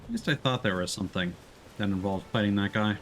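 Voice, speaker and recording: A man's voice: lowish voice, fast talker. A man giving casual let's play commentary as a game streamer, musing and thinking aloud. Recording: clear background noise behind voice.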